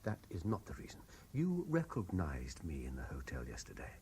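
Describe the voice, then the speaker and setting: A man's voice: extremely low pitch, wildly swooping pitch, moderate pace, dark tone, slight background noise, heavily acted. An actor playing an intense, haunted Frenchman obsessed with a lost love, insistent and brooding, in 1970s radio drama style. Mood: pleading, intense.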